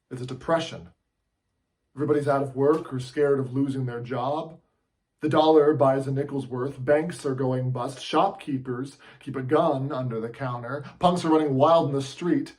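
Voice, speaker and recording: A man's voice: medium pitch, a little mellow, lightly acted. Man acting an impassioned, fed-up TV newsman. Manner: impassioned, urgent, oratorical. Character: fed-up male TV newsman delivering an impassioned rant. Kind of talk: dramatic film monologue.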